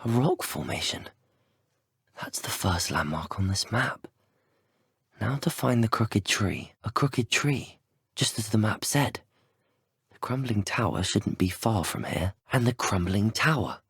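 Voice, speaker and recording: Casting: young British student wizard hero for a game; earnest, polite, articulate, low-leaning pitch, animated delivery. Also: male voice, a little slow, slightly rough, dry recording, studio quality, heavily acted. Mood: focused, observant. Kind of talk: video game character dialogue.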